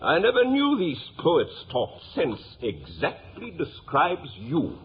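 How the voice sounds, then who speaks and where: A man's voice: fairly high pitch, huge pitch swings, slow pace, heavily acted. A man playing a stern, sarcastic, commanding father in 1950s radio drama style. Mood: mocking. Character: stern, mocking, scornful father. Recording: tinny, hissy vintage radio recording.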